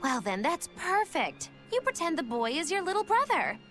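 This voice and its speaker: A woman's voice: very high voice, big pitch swings, moderate pace, a bit bright, soft background music, full character voice. An actress playing a mischievous, mocking young woman in a video game, a theatrical trickster with a teasing manner.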